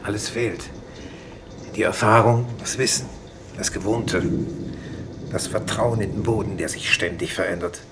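Casting: laconic exiled physician, low voice, resigned and matter-of-fact, noisy vintage radio play. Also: male voice, steady tone, a little slow, long pauses. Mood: resigned, grave. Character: resigned stoic exile doctor on a harsh planet. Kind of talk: 1950s German radio play acting. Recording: noisy 1950s radio recording.

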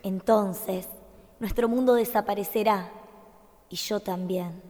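A woman playing a gentle, solemn young empress of a fantasy realm in a radio drama. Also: mid-range voice, steady tone, takes it slowly, natural voice. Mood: pleading, urgent. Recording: long hall reverb on studio recording.

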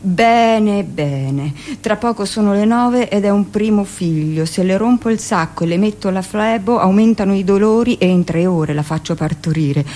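Radio drama role: woman playing hard-hearted midwife in her forties, chatty, dismissive, self-justifying, medium-pitched voice. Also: normal speed, hardly any pauses, mellow, close to an everyday voice. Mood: brisk, dismissive. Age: middle-aged. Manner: conversational, matter-of-fact, scornful. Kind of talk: theatrical radio monologue.

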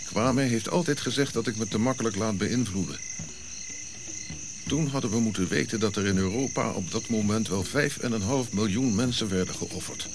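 An actor playing a reflective Ashanti prince who recounts his childhood in measured radio drama narration. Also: fairly low pitch, background noise, close to an everyday voice.

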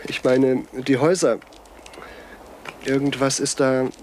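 An actor playing a stubborn, suspicious explorer in a 1970s German radio drama, speaking in terse, probing phrases. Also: male voice, mid-range voice, steady tone, slow pace, darkish tone, slight background noise, close to an everyday voice. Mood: wary, puzzled. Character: stubborn, suspicious male explorer alone on a deserted island.

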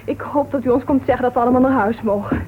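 A woman's voice: sits high, quick pace, slight background noise, natural voice. A woman playing a tart, self-assured society woman in a radio play, arch and dryly mocking. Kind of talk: Dutch radio play acting. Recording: tinny old radio recording.

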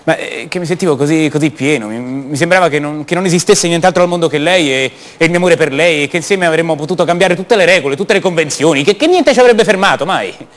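An actor playing a passionate, rebellious young poet from a rich family in a radio theatre monologue, confiding and halting, repeating his words. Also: a little high, fairly bright tone, close to an everyday voice. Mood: impassioned.

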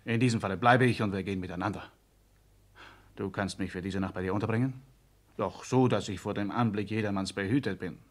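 A man's voice: on the low side, brisk, natural voice. A man acting an intense, possessive idealist in a 1960s German radio play, speaking in a solemn, lofty and insistent manner. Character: intense, possessive, fastidious male idealist urging departure.

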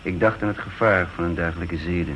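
An actor playing a guarded, earnest intelligence chief in a radio drama, musing and hesitant, on a dull, noisy old recording. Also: male voice, low-pitched, fairly even intonation, a little fast, a bit bright. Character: guarded male intelligence chief, flippant facade over gravity. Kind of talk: radio drama acting with first-person narration.

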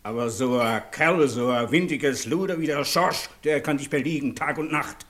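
An actor playing an outspoken, stubborn brother-in-law, talking colloquially and reproachfully in a German radio drama. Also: male voice, mid-range voice, moderate pace, fairly bright tone, natural voice. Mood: indignant, accusing.

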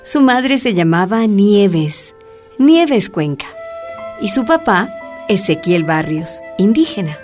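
A woman's voice: mid-range voice, very animated, slow pace, a little mellow, soft background music. A woman playing a courteous, inquisitive journalist who narrates a radio drama in a polite storytelling manner. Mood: narrating.

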